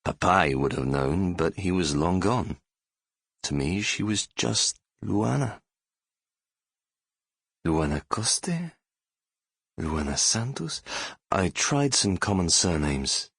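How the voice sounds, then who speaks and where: Deep-voiced, regretful middle-aged man. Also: very animated, slow pace, vocal fry, long pauses, fairly bright tone, breathy edge, dry recording, studio quality, full character voice. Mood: pensive. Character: middle-aged Brazilian-born London doctor, nostalgic and regretful. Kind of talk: first-person audiobook narration.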